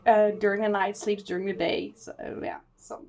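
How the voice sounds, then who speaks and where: A woman's voice: medium pitch, level tone, normal speed, darkish tone. A woman in her thirties from Italy, a mother of three, chatting as a guest in a casual group podcast and laughing easily.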